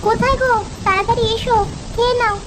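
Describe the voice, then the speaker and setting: Very high-pitched fussing village housewife, acted by a woman. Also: fairly even intonation, fast. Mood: nagging, caring. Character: bossy, caring village housewife. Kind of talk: children's moral-story cartoon dubbing.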